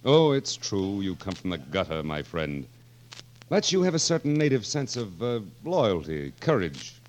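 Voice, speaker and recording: A man's voice: sits low, sing-song, unhurried. An actor in a 1940s radio drama playing a wily, sardonic king of France who speaks in a musing, regal, hesitant way. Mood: wry. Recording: vintage 1940s radio broadcast.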